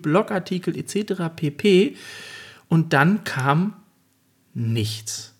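Husky-voiced man podcast co-host. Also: sits high. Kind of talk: casual podcast chat.

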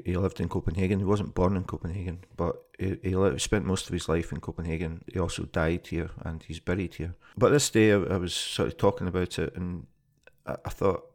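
Deep, gravelly Scottish man. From Scotland. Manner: plain, conversational, with frequent ums and small restarts. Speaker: podcast host. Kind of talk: casual spoken podcast introduction.

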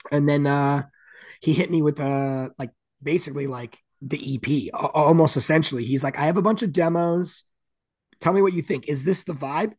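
A man with a deep, gravelly, raspy voice, chatting casually as a guest musician, with plenty of "like" and "you know" fillers. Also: moderate pace, darkish tone, no room sound. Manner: rambling, many "like" fillers. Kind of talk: casual interview chat.

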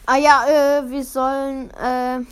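A woman's voice: sits high, little pitch movement, takes it slowly, clear, resonant tone. A woman chatting casually as a podcast co-host, terse and hesitant. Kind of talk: casual chat.

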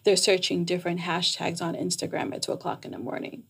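Woman, thirties, raised in Jamaica, explanatory, gravelly, husky. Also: on the low side, level tone, fast. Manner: articulate, explanatory, laughs easily. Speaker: psychotherapist guest. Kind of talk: casual podcast interview.

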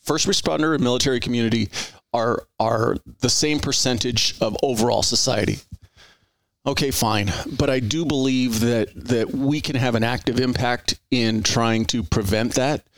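A middle-aged man hosting a podcast in an earnest, rambling way, circling back over his own words. He has an average-pitched voice. The sound is close and dry, with no room echo. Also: moderate pace. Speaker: podcast host. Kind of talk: conversational interview.

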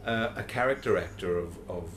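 A man interviewing a guest in an admiring, slightly halting way. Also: deep voice, fairly bright tone, a little hiss or hum. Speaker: podcast host.

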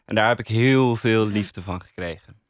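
Young male co-host, Netherlands Dutch, fairly low, casual, guarded, dryly playful, close dry sound. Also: moderate pace, no echo. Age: young adult. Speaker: podcast co-host. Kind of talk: casual chat.

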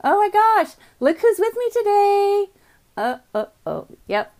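A woman hosting a live morning podcast from her home office, chatty and upbeat, greeting viewers by name, with a voice she calls calming, on a computer mic. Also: very high voice, measured pace. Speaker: podcast host. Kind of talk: casual live video chat.

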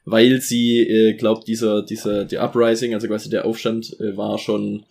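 A man's voice: lowish voice, flat delivery, moderate pace, vocal fry, a little mellow, breathy edge. A man co-hosting a podcast, recapping a series episode in a rambling way with many fillers. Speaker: podcast co-host summarizing an episode.

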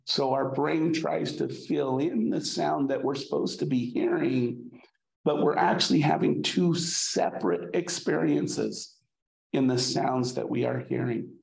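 A man giving a recorded class lecture as a college instructor, halting with many fillers and restarts. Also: mid-range voice, vocal fry, darkish tone. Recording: echoey room.

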